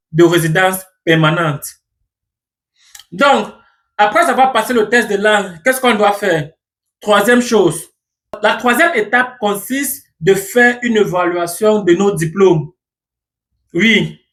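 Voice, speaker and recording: High-voiced man explaining steps methodically. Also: fairly bright tone. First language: English. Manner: methodical, counts off numbered points; plain, reassuring advice to viewers. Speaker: immigration explainer. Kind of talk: step-by-step instructional talk.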